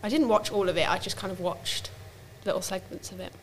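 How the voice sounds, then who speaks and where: A woman's voice: medium pitch, brisk, a little hiss or hum. Chatty woman co-hosting a casual podcast. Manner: chatty, explains at length with many "like"s. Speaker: podcast co-host. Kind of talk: casual chat.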